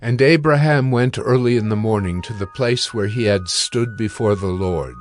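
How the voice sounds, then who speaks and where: A man narrating scripture from a script in a measured, solemn manner. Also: fairly low pitch, faint music behind.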